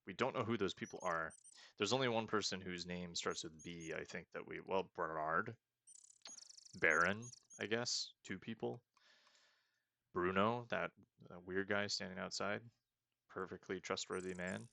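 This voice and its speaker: A man's voice: low-pitched, a little slow, creaky, pushed and bright, dry recording. A man casually commenting while he plays a video game, thinking aloud and hedging often. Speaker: let's play host.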